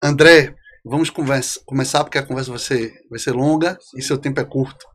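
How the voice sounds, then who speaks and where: A man with a mid-range voice hosting a casual studio podcast. He sets up questions in a loose, halting way with small filler pauses, and is recorded close on the mic. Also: medium pitch, steady tone, fairly bright tone. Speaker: podcast host, interviewer.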